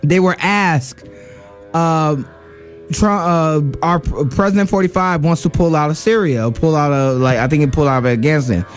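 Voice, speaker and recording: A man's voice: a little high, normal speed, soft background music. Heated man in a political rant. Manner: heated, argumentative, with many fillers and restarts. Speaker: radio show co-host. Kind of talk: political commentary.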